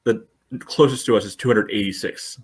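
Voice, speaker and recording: A man's voice: fairly low pitch, normal speed, husky. A man co-hosting a casual sports podcast, speaking bluntly and reading out statistics in a dry way. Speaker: podcast co-host.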